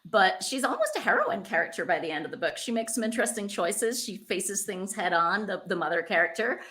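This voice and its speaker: A woman's voice: medium pitch, sing-song, fairly quick, fairly bright tone. A woman hosting a conversational interview in an effusive, encouraging way. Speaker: interviewer, host.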